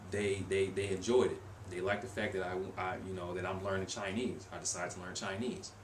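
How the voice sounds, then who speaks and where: A man's voice: sits low, little pitch movement, brisk. A young man casually rambling to camera in a vlog, with lots of fillers, restarts and asides. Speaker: language-learning vlogger. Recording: echoey room with faint hiss.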